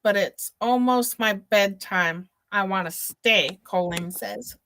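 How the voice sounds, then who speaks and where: A woman co-hosting a casual livestream over a remote link, easygoing, reading out chat names slowly. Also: medium pitch, fairly bright tone, slight background noise. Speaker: co-host on stream.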